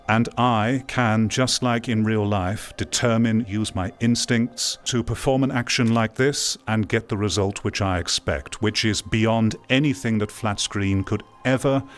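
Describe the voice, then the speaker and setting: A man hosting a VR gaming stream, talking to his viewers in an earnest, emphatic way and repeating phrases for stress. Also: fairly low pitch. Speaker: VR games streamer and video host. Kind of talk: live game commentary talking to viewers.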